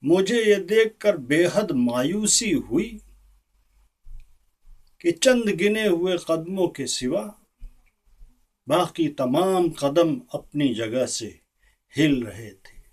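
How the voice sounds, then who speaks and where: Man acting a slow, oratorical Indian statesman. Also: a little high, darkish tone. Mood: disappointed. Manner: deliberate, oratorical, with weighty pauses. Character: earnest, disillusioned male Indian statesman addressing an assembly. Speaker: actor performing a monologue. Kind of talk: theatrical political speech monologue. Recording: distant microphone.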